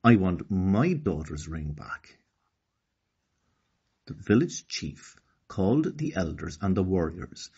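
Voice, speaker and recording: An Irish man reading a children's story aloud in an expressive, deliberate storybook delivery. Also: fairly low pitch, husky. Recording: slightly dull lo-fi recording.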